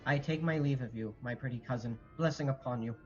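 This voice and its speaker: A man playing a courteous, anxious nobleman in Shakespearean radio drama, speaking in a measured, earnest way. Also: mid-range voice, darkish tone, muffled recording, faint music behind, natural voice. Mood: grave, consoling. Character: courteous, anxious nobleman counselling his cousin.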